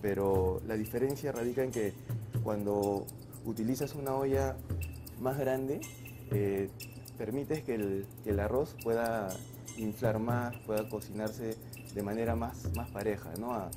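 A man's voice: lowish voice, steady tone, over music. A man in his forties, a chef, explaining his dishes in a restaurant kitchen with frequent restarts and fillers. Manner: halting, many restarts and fillers. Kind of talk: casual kitchen interview. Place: restaurant kitchen and sushi bar.

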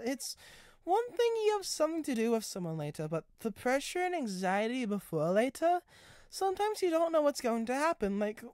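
Woman acting anxious lady locomotive, raised pitch, emotional, hesitant, rambling storybook monologue. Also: no room sound, clean studio sound. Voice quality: quiet, small voice. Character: shy, anxious, wounded female talking steam engine.

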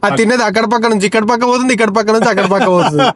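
Fast-talking young vlogger man. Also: sits high, steady tone. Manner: chatty, running commentary to camera. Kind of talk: casual vlog commentary.

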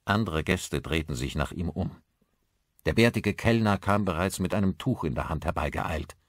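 A man's voice: low voice, animated, moderate pace, no echo, studio quality. A man reading scripted narration for a thriller audiobook.

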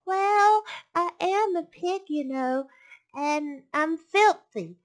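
A woman voicing a small male piglet from a storybook, slyly polite and pleading, with drawn-out, hesitant suggestions. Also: very high voice, lively intonation, voice pushed up, heavily acted. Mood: meekly wheedling.

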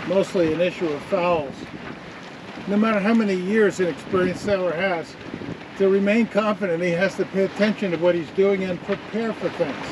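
Male sailing instructor, high-pitched, didactic delivery, recorded outdoors with clear wind and boat noise. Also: normal speed. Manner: didactic, explanatory. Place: outdoors on a sailboat under way.

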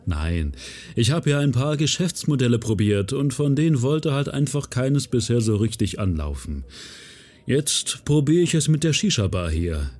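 A man's voice: medium pitch, very animated, normal speed, a little mellow. A man playing an affable, easygoing small-time hustler in a fan audio drama. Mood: friendly.

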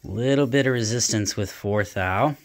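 A man's voice: on the low side, normal speed. A man in a small home workshop, casually thinking aloud as he demonstrates a hands-on test, with plenty of fillers. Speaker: hobbyist welder demonstrating a test. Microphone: camera worn on the body, point-of-view framing.

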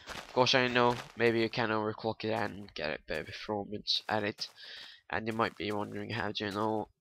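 A man's voice: on the low side, gravelly, pushed and bright, slight background noise. A young man with a non-native English accent, rambling and swearing casually as he comments on his own gameplay. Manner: rambling, swearing, joking to viewers. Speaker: gaming YouTuber.

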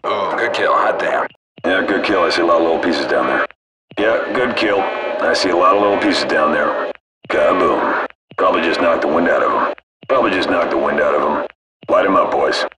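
A man playing a cool, detached military gunship crewman, giving clipped, casual callouts through a radio filter. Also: sits low. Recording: noisy military radio with engine drone.

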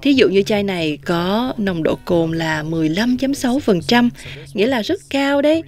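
A woman's voice: medium pitch, expressive, brisk, a little mellow. Young woman host translating at a winery. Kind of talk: interviewing and translating into Vietnamese. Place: outdoor patio at a winery. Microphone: handheld mic.

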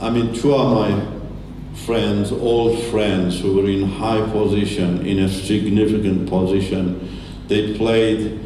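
A middle-aged man whose first language is Turkish, giving an earnest, halting testimony talk in a large church hall with a handheld mic. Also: low-pitched, dark tone. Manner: earnest, halting, with many restarts. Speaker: guest speaker. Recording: echoey hall, distant mic, faint hiss.

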